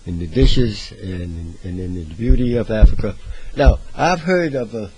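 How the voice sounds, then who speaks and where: A man's voice: on the low side, unhurried, dark tone, slight background noise, poor audio. A man hosting an interview, asking questions hesitantly with many fillers. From United States. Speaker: host.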